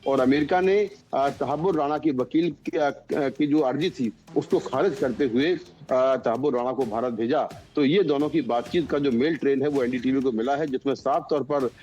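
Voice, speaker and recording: A man working as a news correspondent, giving unscripted analysis in a halting, repetitive way as he searches for words. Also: mid-range voice, dark tone, muffled recording, soft background music.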